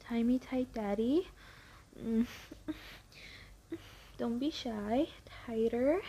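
A young woman with a high, mellow voice performs an intimate audio roleplay. She speaks slowly and softly, her delivery breathy and often sinking into whispers. Her tone stays teasing and pleading throughout. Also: slight background noise.

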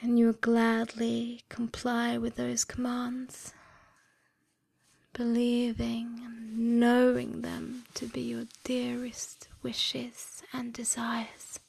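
Very slow whispering woman, breathy and close. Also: fairly high pitch, completely flat delivery, no echo. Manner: hushed, deliberate, soothing, commanding. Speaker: erotic hypnosis audio performer. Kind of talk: scripted erotic hypnosis ASMR.

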